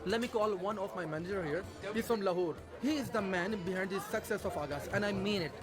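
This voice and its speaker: A man's voice: high voice, fast. A man from Pakistan, eagerly welcoming visitors at a restaurant outdoors. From Karachi, Pakistan. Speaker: restaurant staff. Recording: outdoor street recording with music and crowd.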